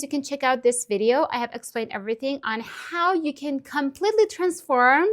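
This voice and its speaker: A woman in her twenties from Iran, whose first language is Persian, giving earnest, upbeat language-learning advice to camera with frequent small laughs. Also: on the high side. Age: 20s. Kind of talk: direct-to-camera tutorial vlog.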